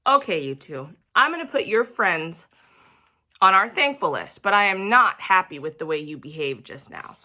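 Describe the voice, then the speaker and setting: A woman voicing a weary, patient male schoolteacher in a storybook, measured, stern but kindly and gently exasperated. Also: mid-range voice, big pitch swings.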